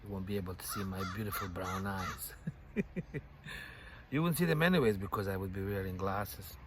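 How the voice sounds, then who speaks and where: Middle-aged guy thinking out loud, lowish voice, lots of outdoor noise and traffic behind him. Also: expressive, moderate pace. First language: Bosnian. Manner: rambling and musing, with many "you know" fillers and soft laughs. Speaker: vlogger. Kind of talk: casual reflective monologue. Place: outdoors on a bench by a lake.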